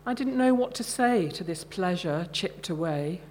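A middle-aged woman, an author reading her own short fiction at a live event, measured and carefully phrased. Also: lowish voice, lively intonation, darkish tone, a little hiss or hum.

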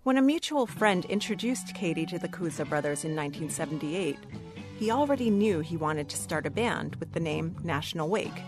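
A woman reporter narrates a radio feature in a scripted style. Her voice is fairly low for a woman, with faint music running behind her. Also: expressive, moderate pace.

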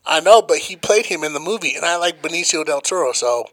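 A man's voice: on the high side, expressive, fast talker, a bit bright. A man co-hosting a podcast, trading profane, slangy jokes in casual banter. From Colorado. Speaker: podcast co-host.

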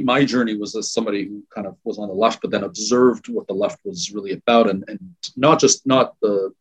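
A man from Iran talking as an interview guest, thoughtful and measured, often restarting and qualifying his words. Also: fairly low pitch, slightly rough, muffled recording. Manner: thoughtful, with frequent restarts and hedges. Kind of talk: conversational interview talk.

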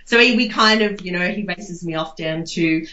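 A woman's voice: fairly low pitch, moderate pace, pushed and bright. An Australian woman giving casual, reflective interview answers in a rambling, conversational way, with lots of "you know". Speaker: interview guest. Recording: echoey room, slightly dull sound.

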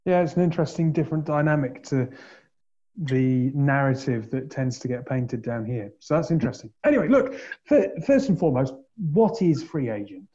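A man hosting a podcast, chatting and joking with his co-host before interviewing a guest, with quick asides. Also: mid-range voice, very animated, warm tone, lo-fi sound.

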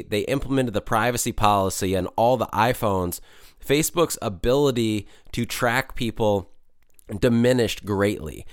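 A man hosting a solo podcast, slightly hoarse from a cold, talking in a casual, rambling way with many fillers. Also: medium pitch, no echo, clean studio sound. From Michigan. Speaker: podcast host.

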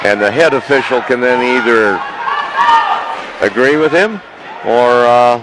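An American man giving live radio wrestling commentary, halting and repeating phrases as he follows the action. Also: mid-range voice. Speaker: sports color commentator. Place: noisy indoor wrestling tournament venue. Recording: live sports broadcast with loud crowd din. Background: loud crowd and event noise.

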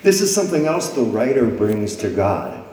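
A man's voice: mid-range voice, big pitch swings, moderate pace, dark tone. Man preaching in an echoey hall. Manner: dramatic reading of scripture, rising and dropping his voice. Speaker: pastor. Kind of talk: sermon. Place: church sanctuary before a congregation. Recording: echoey hall with long reverb.

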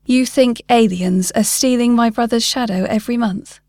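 Worried everyman, read by a female storyteller. Guarded, skeptical, questioning, slightly high pitch, very dry recording. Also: normal speed, a little mellow, no echo, lightly acted. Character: worried, skeptical ordinary man seeking answers. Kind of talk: short story character dialogue.

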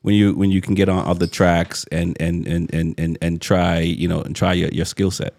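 Deep-voiced man hosting a casual chat. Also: little pitch movement, moderate pace, creaky, darkish tone, no echo, studio quality. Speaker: show host.

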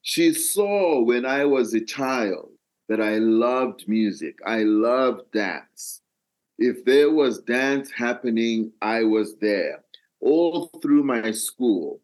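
Older malawian guy telling his life story in an interview, really slow and deliberate, phrase by phrase. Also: mid-range voice, expressive, darkish tone. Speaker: interview guest, dancer and storyteller. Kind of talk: reflective personal storytelling in an interview.

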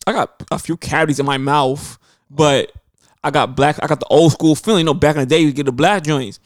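A man co-hosting a relaxed podcast, winding through long stories and slipping into other people's voices when he quotes them. His voice sits a touch high. The sound is close and dry, with no room echo. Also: a little fast. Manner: rambling, animated storytelling with quoted voices. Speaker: podcast co-host. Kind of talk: casual podcast chat.

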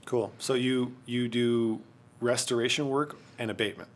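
A man from Quebec hosting a casual podcast chat, relaxed and easygoing, laughing often. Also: mid-range voice, normal speed. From Quebec, Canada. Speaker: podcast host.

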